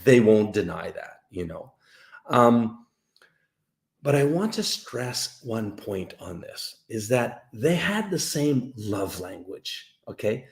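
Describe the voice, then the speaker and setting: Animated, gravelly man in a roomy space. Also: lowish voice, slow. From Canada. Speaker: host of a live dating-advice show. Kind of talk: casual livestream chat with viewers. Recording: roomy, echoey sound.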